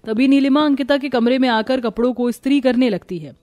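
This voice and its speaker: A woman's voice: high-pitched, brisk, darkish tone, no room sound. A woman narrating a scripted moral story.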